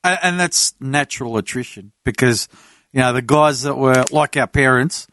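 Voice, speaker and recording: A man's voice: medium pitch, normal speed, fairly bright tone, slight background noise. A man on a casual podcast, bluntly explaining his view at length. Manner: blunt, explains at length with "you know what I mean". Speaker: podcast panelist. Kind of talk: casual chat.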